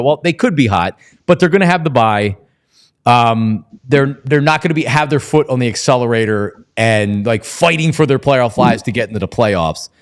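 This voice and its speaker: Male sports talk host, casual and wordy, highly expressive swings in pitch.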